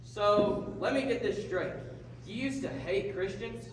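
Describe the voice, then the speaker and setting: A man's voice: high voice, measured pace. A man playing an upbeat, folksy radio host puppet with a Southern US accent, presenting a scripted comedy talk show. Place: large echoey hall, live performance. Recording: echoey hall from far off, noisy.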